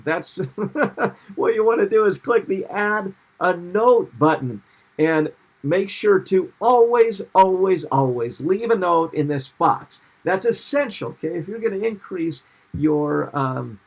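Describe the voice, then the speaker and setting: A man's voice: sits high, gravelly, husky. A middle-aged man teaching a recorded seminar over slides, upbeat and often checking in with "okay?". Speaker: marketing instructor.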